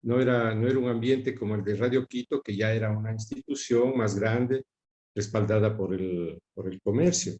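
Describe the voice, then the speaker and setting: A middle-aged man with an Ecuadorian accent, reminiscing in an interview and often pausing to search for words. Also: lowish voice, dark tone, highs cut off. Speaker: interview guest recalling his father's career. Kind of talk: reflective spoken recollection in an interview.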